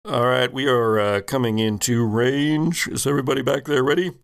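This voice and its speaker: A man voicing a brisk spaceship captain on the intercom in a tabletop roleplaying game. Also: medium pitch, creaky, clear, resonant tone, close to an everyday voice. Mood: urgent.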